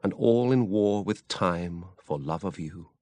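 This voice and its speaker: Deep, slow man reciting verse. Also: mellow, no room sound. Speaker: poetry reader. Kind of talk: solemn poetry recital.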